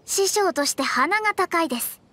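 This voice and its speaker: A woman's voice: very high-pitched, lively intonation, moderate pace, sharp tone, heavily acted. A woman voicing a proud young astrologer in a Japanese anime game, prim and polite but stammering when flustered. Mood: delighted, flustered. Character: proud young female astrologer, easily flustered, putting on dignity. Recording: music behind the voice.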